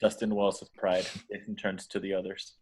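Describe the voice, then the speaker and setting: A man's voice: low-pitched, flat delivery, moderate pace, a little mellow, slightly rough, poor audio. A man reading out the action descriptions as scripted narration in a table read.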